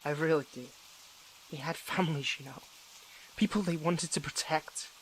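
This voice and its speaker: A man playing a weary, defiant young superhero in a dramatic audio roleplay, speaking haltingly and broodingly to the listener. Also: fairly high pitch, slight background noise, close to an everyday voice, poor audio. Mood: bitter, reflective.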